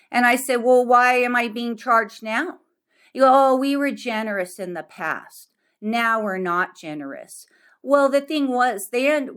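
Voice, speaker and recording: A woman's voice: a little high, fairly bright tone. A woman in an argumentative phone dispute, speaking insistently and repeating phrases for emphasis. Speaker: member of the public requesting records.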